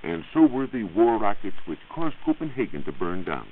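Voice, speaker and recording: A man's voice: lowish voice, big pitch swings, moderate pace. A man with an American accent explaining as a science reporter in scripted 1940s radio style. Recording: tinny, lightly hissy 1940s radio recording.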